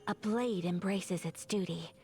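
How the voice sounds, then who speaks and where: A woman's voice: mid-range voice, a little slow, over music, lightly acted. A woman voicing a solemn, poised swordswoman in a video game.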